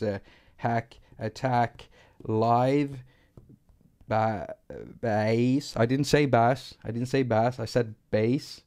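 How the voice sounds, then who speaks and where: A man's voice: mid-range voice, a little mellow. Chatty Swedish man with gray hair. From Sweden. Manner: rambling, self-deprecating, repeats words when restarting. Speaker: music producer teaching synthesis. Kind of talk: live stream tutorial.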